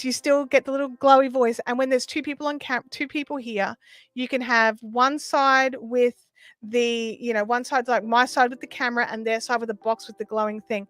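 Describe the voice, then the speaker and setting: A woman's voice: on the high side, a bit bright, no echo. A woman hosting a casual livestream, explaining things conversationally as she answers viewers. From Australia. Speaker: livestream host. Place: small sound-treated home room.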